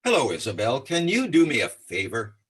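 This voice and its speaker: An actor playing an affable, oblivious bachelor jockey who drops in as the neighbor in an old-time radio comedy. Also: male voice, medium pitch, huge pitch swings, brisk, a bit bright, husky, exaggerated voice. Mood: breezy, affable.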